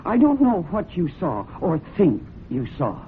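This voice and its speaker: A tinny, slightly noisy 1970s radio drama recording carries a young woman hiding guilt. Her delivery swings widely in pitch, guarded and defensive, with anxious stammers. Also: wildly swooping pitch, unhurried. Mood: defensive, afraid. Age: young adult. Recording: tinny band-limited old radio recording, light noise.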